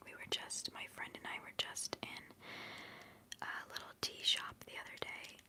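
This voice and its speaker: A woman's voice: normal speed, bright, forceful tone. A young woman from the United States whispering for an ASMR video at home, chatting in a rambling, giggly way full of "like" into a close mic. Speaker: ASMR creator. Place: her bedroom at home.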